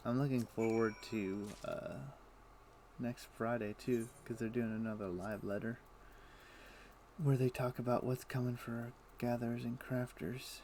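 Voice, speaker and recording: A man casually commenting while playing a game on a live stream, relaxed and thinking aloud to himself. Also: mid-range voice, a little slow, slight background noise. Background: background music.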